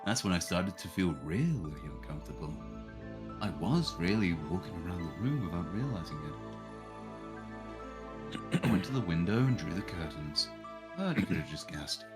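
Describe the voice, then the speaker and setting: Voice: deep voice, very animated, moderate pace, long pauses, music in the background. A streamer reading a visual novel's narration aloud in a dramatic way.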